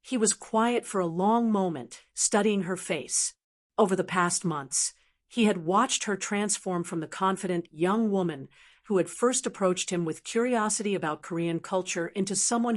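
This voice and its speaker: A woman reading an audiobook in scripted narration, measured and even. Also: mid-range voice.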